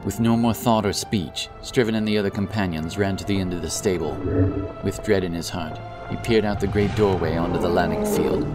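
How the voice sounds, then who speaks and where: Casting: deep male storyteller, solemn and dramatic, for scripted fantasy narration over strong music. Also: brisk.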